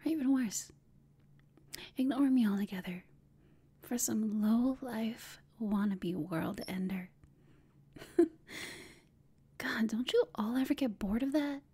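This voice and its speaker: A woman performs a flirtatious villainess in an intimate ASMR roleplay. Her voice is extremely breathy and mostly whispered, with a soft, mellow tone. She takes her time, teasing and taunting her enemy with smug amusement. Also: a little high, takes it slowly, long pauses, heavily acted. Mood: playful taunting.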